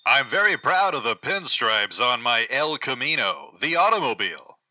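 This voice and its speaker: A man improvising comedy, making pompous, mock-profound pronouncements in a deadpan way. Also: medium pitch, normal speed, heavy background noise.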